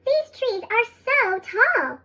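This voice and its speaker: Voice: very high voice, big pitch swings, takes it slowly, pushed and bright, pitched above the performer's own voice, full character voice. A narrator voicing a friendly, wide-eyed young llama boy in a storybook, through a sped-up chipmunk effect. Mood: wondering, kindly.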